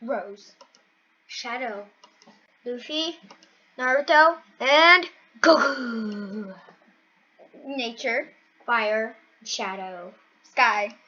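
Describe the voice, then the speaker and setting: A woman with a bright, forward voice acts out an amateur anime-style school drama. Her pitch sits high and her delivery is unhurried. The recording is dull and lo-fi. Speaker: amateur voice actor for a fan-made anime story video. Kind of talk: amateur dramatized story dubbing, voicing every character. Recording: muffled lo-fi recording.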